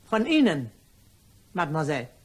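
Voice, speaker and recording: A woman's voice: low voice, sing-song, moderate pace, husky, full character voice. A woman playing a sly, chatty cleaning lady turned blackmailer in a crime radio play, ingratiating and mock-innocent in manner. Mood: sly, insinuating.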